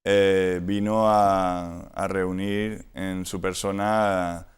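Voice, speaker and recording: A man with a Madrid accent giving a conversational interview as a guest, in a measured way, often tagging phrases with a short question. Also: deep voice, level tone, clear, resonant tone. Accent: Madrid Spanish. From Madrid, Spain. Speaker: interview guest, flamenco record collector.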